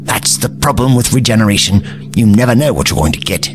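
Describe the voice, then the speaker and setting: A man plays a haughty, crotchety old alien gentleman in an audio drama. His low voice swoops widely in pitch as he fusses in pompous, old-fashioned phrases, with music playing behind him. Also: expressive, fairly quick, natural voice. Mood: indignant, haughty. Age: older.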